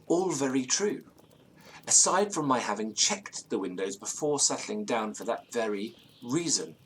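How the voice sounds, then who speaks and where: An actor playing an anxious, genteel British gentleman who recounts a ghost story in formal, ornate, theatrical phrases. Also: mid-range voice, animated, a little slow, a little hiss or hum. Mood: uneasy. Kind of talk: audio drama storytelling, period ghost tale.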